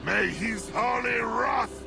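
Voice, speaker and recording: An actor playing a stern, pious official, solemnly proclaiming judgment in a video game. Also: male voice, very high voice, takes it slowly, gravelly, full character voice. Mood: solemn, condemning. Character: stern pious official pronouncing a holy trial. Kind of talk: dramatic video game acting. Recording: dramatic music behind the voice.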